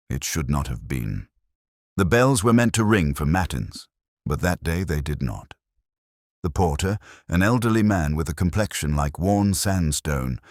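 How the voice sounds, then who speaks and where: Casting: male actor for brooding, introspective detective telling his own mystery; rock-bottom pitch, formal measured phrasing with lively melodic movement. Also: dry recording, studio quality. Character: brooding, sceptical English police detective, mature man, reflective. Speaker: narrator. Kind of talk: scripted first-person mystery narration, literary.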